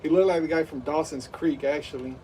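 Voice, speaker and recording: A man's voice: a little high, steady tone, brisk, dark tone, a little hiss or hum. Man, thirties, game streamer, hyped play-by-play, lots of fillers, distant mic. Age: 30s. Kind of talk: live game stream commentary.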